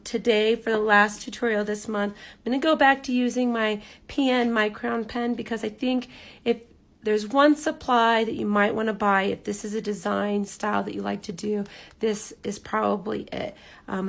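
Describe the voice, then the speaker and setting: Hoarse, raspy-voiced woman teaching rock painting. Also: mid-range voice. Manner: chatty, relaxed, frequent ums, drops to near-whisper while focusing. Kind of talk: live-streamed craft tutorial.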